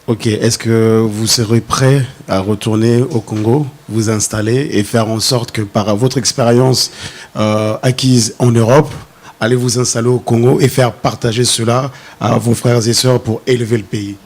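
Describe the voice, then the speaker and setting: A man on a pageant jury, asking measured, probing questions and lecturing a contestant. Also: mid-range voice, steady tone, a little mellow. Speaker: jury member. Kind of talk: interview questioning.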